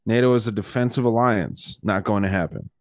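A man's voice: on the low side, fairly quick, dry recording. Man, podcast host, easygoing, hesitant, lots of um and uh, dry close mic. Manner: hesitant, many fillers, easygoing. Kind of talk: casual chat.

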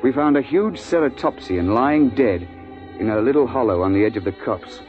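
A brisk, seasoned hunting guide acted by a man from a 1950s radio serial. He speaks with clipped old-boy authority and an exasperated edge. The sound is dull and dated, with clear music behind it. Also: mid-range voice, animated, close to an everyday voice. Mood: vivid storytelling. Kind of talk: 1950s radio drama acting and narration.

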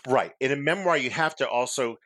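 A middle-aged man from Massachusetts hosts a relaxed interview in a dry, close room, his pitch sitting in an ordinary middle range. He talks reflectively, laughs easily and circles back over his own phrases. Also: a little fast, no echo. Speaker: host, memoir author. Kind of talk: conversational interview.

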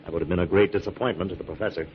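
Low-voiced gallant radio hero played by an actor. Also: male voice, fairly even intonation, rapid-fire, close to an everyday voice. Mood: earnest, explanatory. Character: calm, gallant male scientist crime-fighter, reassuring. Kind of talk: 1940s radio drama acting. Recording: muffled, noisy 1940s radio recording.

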